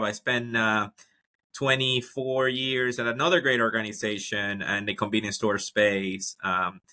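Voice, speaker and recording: A low-pitched man with a bright, forceful voice. He talks as an interview guest, upbeat and loose, often restarting his sentences and filling pauses with "uh" and "um.". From Ecuador. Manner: many fillers and restarts, upbeat storytelling. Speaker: guest, HR executive. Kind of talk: casual interview talk.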